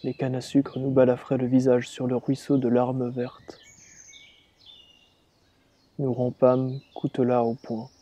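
A man reciting a dramatic monologue as a dying rebel slave, in grave, deliberate, measured phrases. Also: mid-range voice, monotone, warm tone. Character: dying rebel slave reliving his revolt, grave and defiant. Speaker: reader performing a dramatic monologue. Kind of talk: slow literary dramatic recitation.

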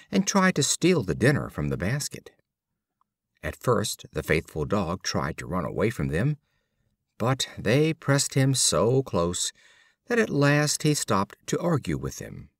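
A man with a fairly low voice reads storybook fables in a lively, swooping intonation. His delivery is deliberate and expressive, like a practised audiobook storyteller, and the sound is close and dry. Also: no echo. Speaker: audiobook reader. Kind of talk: scripted storybook narration of fables.

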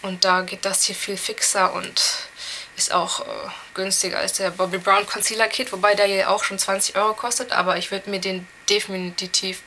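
A young woman in her twenties, a little hoarse from a cold, reviewing products in a chatty, candid way with many fillers, recorded on a camera mic in a small room. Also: mid-range voice, flat delivery, moderate pace, bright, forceful tone, slight background noise. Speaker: beauty vlogger.

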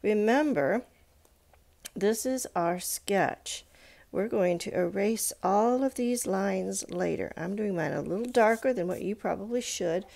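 A woman teaches drawing step by step in an informal way. Her voice has noticeable fry and sits at an ordinary pitch for a woman. She thinks aloud as she demonstrates and encourages the learner along the way. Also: mellow.

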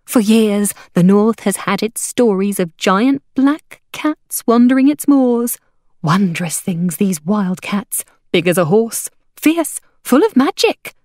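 A woman's voice: mid-range voice, lively intonation, slow, a little mellow, no echo, clean studio sound. Gushing, friendly woman hawker, as a narrator voices her. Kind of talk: audiobook character voice.

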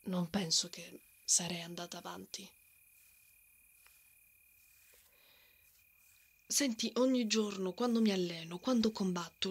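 A woman's voice: medium pitch, husky. A woman playing a brave, stubborn and protective female knight in a fantasy ASMR roleplay. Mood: tender, vulnerable. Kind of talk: ASMR romantic fantasy roleplay, acted monologue.

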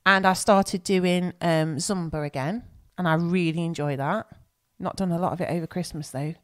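A woman's voice: lowish voice, moderate pace. A woman with an English accent co-hosting a podcast, chatting in a rambling way, searching for words while she has a cold. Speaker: podcast co-host. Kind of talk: casual chat.

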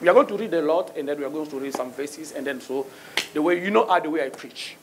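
A man's voice: a little high, fast, a little hiss or hum, poor audio. A middle-aged man with a French accent preaching a sermon from the pulpit of a church and calling out "Amen?" to the congregation. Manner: call-and-response, asks the congregation "Amen?". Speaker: guest preacher. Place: church sanctuary, small stage with pulpit. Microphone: handheld mic at pulpit.

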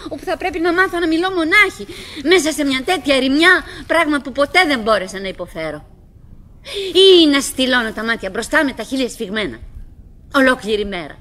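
An actress playing a chatty, wistful wife who clings to cheer, rambling and prompting herself in a theatrical radio-play monologue. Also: female voice, high-pitched, fairly bright tone. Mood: uneasy, subdued. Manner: rambling, self-prompting, swooping between coaxing and musing.

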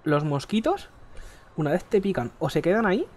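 A man's voice: sits high, normal speed. Rambling Spanish gamer man, throaty. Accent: Spain Spanish. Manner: rambling, crude, excitable. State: keeps clearing his throat. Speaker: gaming streamer. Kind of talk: casual gameplay chat. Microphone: headset mic close to the face.

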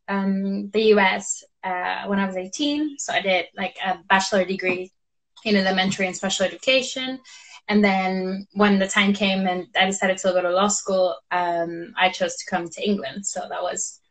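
Casting: young woman, English, warm and light, guest on a phone video call. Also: medium pitch, moderate pace, low-quality recording. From Honduras. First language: Spanish. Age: young adult. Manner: warm, laughs lightly between phrases. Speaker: guest, law student. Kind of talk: live video chat interview. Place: indoor room. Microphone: phone front camera.

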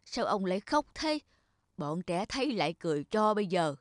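A woman's voice: mid-range voice, sing-song, moderate pace, dry recording, clean studio sound, close to an everyday voice. A woman voicing an affectionate, forgetful elderly mother in a cartoon, plain-spoken and mildly fussing. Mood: puzzled. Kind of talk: cartoon voice acting.

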